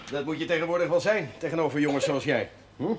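Casting: man playing dry-witted country priest, measured and teasing, TV drama, slightly noisy old soundtrack. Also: on the high side, close to an everyday voice. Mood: wry, teasing. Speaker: actor in a television drama series. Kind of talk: television drama dialogue.

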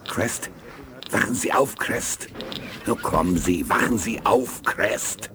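A low-voiced, insistent doctor. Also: male voice, slow, noisy background. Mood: coaxing, insistent. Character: calm, firmly coaxing male doctor. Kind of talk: 1980s German science fiction radio drama.

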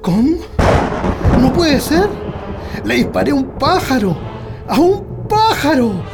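An actor playing a gruff, suspicious Chilean countryman with a creaky voice in a radio drama. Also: very high-pitched, sing-song, slow pace, full character voice. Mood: shocked. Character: gruff, suspicious Chilean peasant husband with a gun. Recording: music behind the voice.

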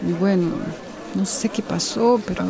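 An actress playing a troubled, defensive woman doctor in a radio drama, with a halting way of talking. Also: medium pitch, creaky, a crowd in the background. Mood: upset, regretful. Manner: halting, hesitant, repeats words.

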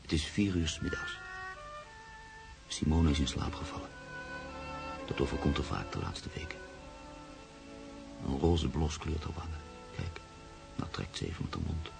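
A man's voice: rumbling low, sing-song, normal speed, vocal fry, long pauses, music in the background, exaggerated voice. An actor playing a brooding, cynical man in love who narrates a radio drama. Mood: brooding, reflective.

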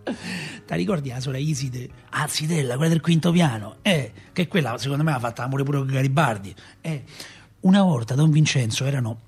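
A man's voice: medium pitch, a little fast, breathy edge, faint music behind. An actor playing a chatty Roman father who tells city tales in a folksy, rambling way, with a Roman accent. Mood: teasing, chatty. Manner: animated, folksy, rambling, rhetorical. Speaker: actor in a radio theatre monologue. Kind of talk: colloquial dramatic storytelling.

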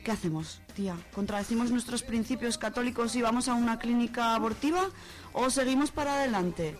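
A woman's voice: a little high, brisk, natural voice. A woman playing a slangy, practical young student in an amateur radio soap, with a Spanish accent. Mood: reassuring, worried. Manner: colloquial, slangy. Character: chatty young university student woman, practical loyal friend.

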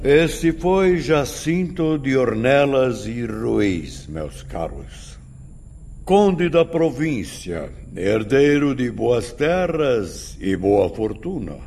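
An actor playing a grave spirit instructor who explains things to pupils in a didactic, theatrical way in a radio drama. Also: male voice, mid-range voice, sing-song, drawn-out words, darkish tone, faint music behind, exaggerated voice. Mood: grave, instructive.

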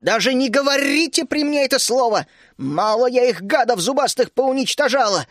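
A man's voice: high-pitched, lively intonation, moderate pace, dry recording, studio quality. Man doing a fiery scolding gnome housewife. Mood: furious. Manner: shouting, scolding, indignant. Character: stern bossy female gnome housewife, fiery.

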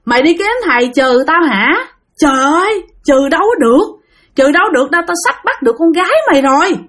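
A woman voicing a spirit that has possessed a medium, taunting and then whining in a high strained voice. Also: lively intonation, fast talker, fairly bright tone. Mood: menacing. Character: possessing spirit, speaks through a male medium, menacing then pleading.

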